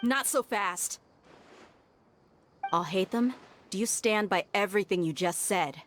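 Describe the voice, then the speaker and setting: A woman's voice: mid-range voice, unhurried, a bit bright, faint music behind, lightly acted. A woman playing a bold, level-headed mercenary in a video game, speaking bluntly and firmly, challenging and loyal. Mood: blunt, stern. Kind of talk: dramatic video game voice acting.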